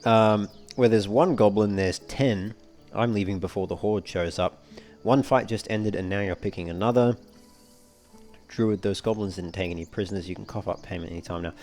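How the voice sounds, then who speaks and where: Low-voiced man doing game commentary. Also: moderate pace, darkish tone. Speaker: let's play host. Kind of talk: casual gameplay commentary, reading game dialogue aloud. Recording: game music faintly behind the voice. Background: video game music.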